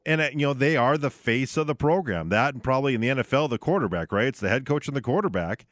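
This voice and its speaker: A man's voice: medium pitch, expressive, fast talker, dry recording, studio quality. A man co-hosting a radio sports show, talking casually and conversationally, often checking in with short tag questions. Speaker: sports radio host in studio. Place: radio studio.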